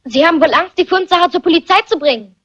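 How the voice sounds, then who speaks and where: A woman's voice: extremely high pitch, lively intonation, quick pace, lo-fi sound. A woman playing a precocious, cheeky young boy who talks in pompous, grown-up phrases in crime drama dialogue. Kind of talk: German crime film dubbing, theatrical dialogue. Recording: faint noise, clicks, vintage soundtrack.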